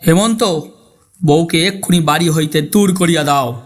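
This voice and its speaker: A man voices a stern household patriarch in an audio story. His voice is fairly high for a man, roaring with anger and command. He speaks as one who expects to be obeyed. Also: moderate pace, lightly acted. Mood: furious. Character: angry stern Bengali father ordering a household.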